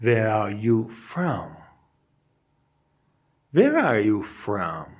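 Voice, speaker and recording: A man reading short English phrases aloud as a language teacher, saying them deliberately as separate, repeated phrases. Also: lowish voice, wildly swooping pitch, slightly rough.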